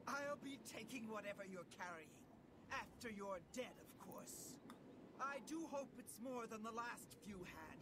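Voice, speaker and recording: A woman's voice: on the high side, animated, unhurried, noisy background. An actress playing a cold, haughty female rogue mage threatening a victim in a fantasy video game. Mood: cold menace. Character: menacing, scornful female rogue mage robber.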